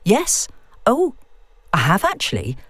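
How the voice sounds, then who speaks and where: A woman's voice: mid-range voice, wildly swooping pitch, slow, a bit bright, no echo, voice pushed up, exaggerated voice. A woman voicing an uneasy middle-aged woman, curious and wary, in an audiobook story. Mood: hesitant. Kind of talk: audiobook dialogue.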